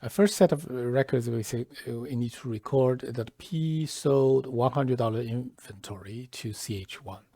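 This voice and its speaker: Slow-spoken man with a creaky, mellow voice. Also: mid-range voice, no echo, clean studio sound. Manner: halting, deliberate delivery with pauses mid-phrase. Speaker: software tutorial presenter. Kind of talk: step-by-step tutorial narration, lightly scripted.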